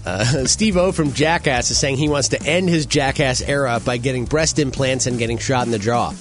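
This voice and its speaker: A man's voice: medium pitch, over music. A man with a nasal Chicago accent hosting a morning radio show, bantering and joking with his co-host. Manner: animated, jokey, quick to laugh.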